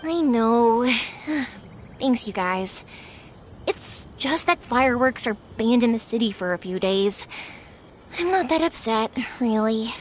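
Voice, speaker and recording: A woman's voice: fairly high pitch, lively intonation, slow pace, slight background noise. A woman voicing a friendly young fireworks maker in an anime-style game, bubbly and enthusiastic. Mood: downcast.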